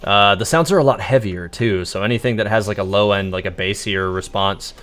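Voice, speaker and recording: Low-voiced man chatting on a game stream. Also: fairly bright tone. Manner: rambling, halting, chatty. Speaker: game livestreamer. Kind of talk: casual live gameplay commentary.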